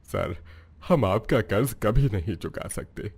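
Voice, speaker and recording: A male actor voices a poor village eatery owner from a cartoon moral tale. His voice sits fairly low, and he speaks with humble gratitude and respect. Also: expressive, slow pace, vocal fry, a little mellow, breathy edge, exaggerated voice. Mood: humble, grateful. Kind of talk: Hindi moral story cartoon dub.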